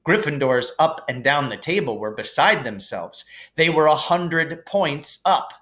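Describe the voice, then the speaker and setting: A man reading a children's novel aloud as an expressive storybook narrator. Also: on the high side, measured pace.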